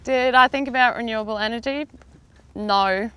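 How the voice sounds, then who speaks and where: A woman's voice: a little high, moderate pace, fairly bright tone, faint music behind. A woman talking casually in an interview as a solar farm worker.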